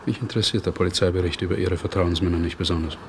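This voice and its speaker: A man's voice: low-pitched, fairly even intonation, racing, a little hiss or hum. A man playing a curt, coldly formal British naval commander with precise, sarcastic politeness in a German radio play.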